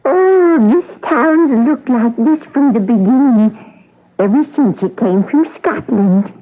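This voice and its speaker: A woman's voice: fairly high pitch, expressive, slow, faint music behind. An actress playing a mysterious, hospitable old village woman in a radio drama, with a quaint, folksy, vaguely drifting manner. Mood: wistful, vague. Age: older. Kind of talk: 1980 radio drama acting. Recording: noisy, narrow-band old radio broadcast.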